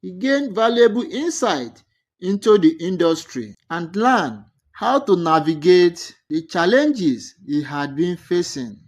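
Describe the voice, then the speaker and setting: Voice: mid-range voice, sing-song, takes it slowly. A narrator reading a scripted moral folktale as a storyteller.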